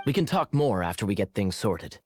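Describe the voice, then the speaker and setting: A man voicing a suave, teasing cavalry captain in a video game, smooth and theatrical. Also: fairly low pitch, big pitch swings, a little fast, darkish tone, a little hiss or hum. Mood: playful.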